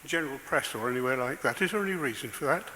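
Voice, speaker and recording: A man in the audience asking a hesitant question, halting with many fillers. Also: medium pitch, lively intonation, pushed and bright, in a large hall, slight background noise.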